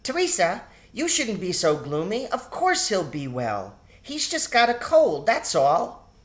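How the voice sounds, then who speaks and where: Guy doing a young mouse sister, storybook style, high voice, hopeful, bit of chiding. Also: wildly swooping pitch, a little slow, full character voice. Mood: reassuring. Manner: chiding, reassuring.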